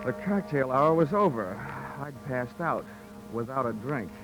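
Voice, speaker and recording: An American actor playing a dry, deadpan, hard-boiled insurance investigator narrating his case in a 1940s radio drama. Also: mid-range voice, measured pace, low-quality recording. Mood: wry, tense narration. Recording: old 1940s radio recording, music behind.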